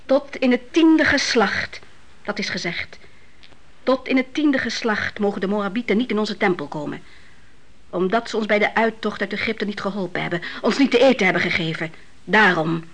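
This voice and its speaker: A woman's voice: mid-range voice, moderate pace, a bit bright, dry recording. An actress playing a devout, stubborn widowed mother in a radio play, speaking in a solemn, imploring, emphatic way. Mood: stern, indignant. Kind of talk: radio drama acting.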